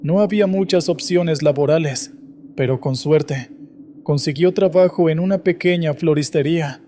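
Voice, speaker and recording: A man reading scripted first-person horror stories in a steady, measured way. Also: fairly high pitch, slight background noise. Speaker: horror story narrator.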